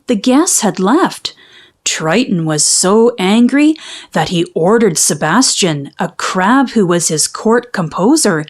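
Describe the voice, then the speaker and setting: A woman reading a children's story aloud in expressive, dramatic, carefully phrased narration. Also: mid-range voice. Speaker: storybook reader.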